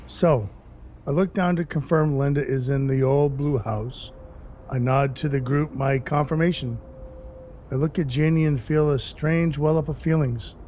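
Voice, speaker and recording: A man playing a gruff, soft-hearted dwarf who works as Santa's helper, narrating his own story in an audio play in a wry, hard-boiled way. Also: fairly high pitch, steady tone, a little slow, a little hiss or hum, close to an everyday voice. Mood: subdued, tender. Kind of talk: first-person audio play narration and dialogue. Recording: music under the voice. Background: music.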